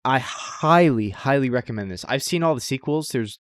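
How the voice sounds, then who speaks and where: A man's voice: mid-range voice, lively intonation, moderate pace, no echo, studio quality. A man co-hosting a podcast, chatting casually and enthusiastically with slangy, profane language and emphatic recommendations. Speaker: podcast co-host. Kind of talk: casual chat about anime.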